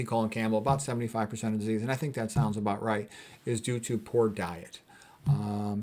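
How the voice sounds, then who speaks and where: Plain-spoken man giving an informal explanatory talk. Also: medium pitch. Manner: plain-spoken, colloquial, often adding "okay" and "you know". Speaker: physician presenter of health talks. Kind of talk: informal explanatory talk, reading and commenting on quotes.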